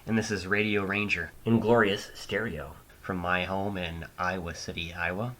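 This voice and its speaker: Deep-voiced American man hosting amateur radio. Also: steady tone, over music. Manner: deliberate, drawn-out phrasing with pauses. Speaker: amateur radio show host. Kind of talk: scripted radio show narration. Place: home studio. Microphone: older hobbyist microphone. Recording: faint noise, amateur home microphone.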